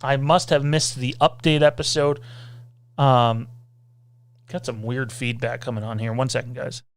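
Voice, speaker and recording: A man hosting a casual livestream Q&A, rambling loosely, reading out viewer comments and filling pauses with lots of "uh" and "um.". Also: mid-range voice, little pitch movement, no echo. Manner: rambling, reads out viewer comments, many filler sounds. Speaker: podcast host.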